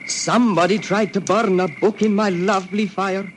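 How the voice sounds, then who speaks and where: A man's voice: high voice, fairly even intonation, normal speed, close to an everyday voice. An actor playing a simple-minded, childlike man who loves birds and bonfires, with wandering, repetitive talk, in an old radio drama. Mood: dreamy, innocent. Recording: noisy vintage radio recording.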